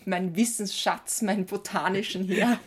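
Expressive woman chatting casually. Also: mid-range voice, moderate pace, a little hiss or hum. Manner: expressive, exclamatory. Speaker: podcast co-host. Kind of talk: casual chat.

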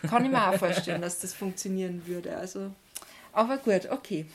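A woman's voice: fairly low pitch, brisk, husky. A woman with an Austrian accent co-hosting a podcast, chatting informally with playful interjections. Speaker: podcast co-host. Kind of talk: casual podcast conversation.

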